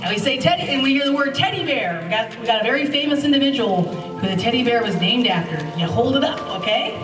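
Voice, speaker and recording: A woman's voice: on the high side, lively intonation, fast. A woman host introducing a play, giving casual instructions to an audience. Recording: echoey hall, distant mic, music behind.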